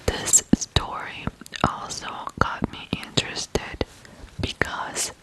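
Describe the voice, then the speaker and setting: An ASMR host from Ukraine, telling stories in a hushed whisper with frequent pauses between short phrases. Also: poor audio. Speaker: ASMR video host and storyteller. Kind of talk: whispered ASMR true crime storytelling.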